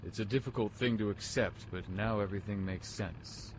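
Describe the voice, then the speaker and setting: Guy playing brooding formal gentleman in a gothic audio soap, earnest, kinda deep, slight hiss. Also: normal speed, lightly acted, poor audio. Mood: troubled. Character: brooding, courtly gothic gentleman haunted by his past. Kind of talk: gothic audio drama dialogue.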